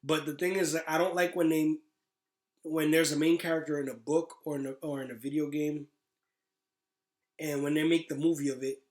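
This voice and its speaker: Higher-voiced man in an echoey room, slangy. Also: little pitch movement, brisk. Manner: slangy, emphatic, swearing. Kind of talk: casual podcast chat about movies and games. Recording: echoey, roomy podcast recording.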